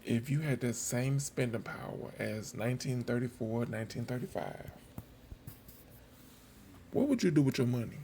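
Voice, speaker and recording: Mellow, gravelly man chatting casually. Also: mid-range voice, expressive, normal speed, pauses often, a little hiss or hum. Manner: musing, emphatic repetition. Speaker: video host. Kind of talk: casual chat commentary over old footage.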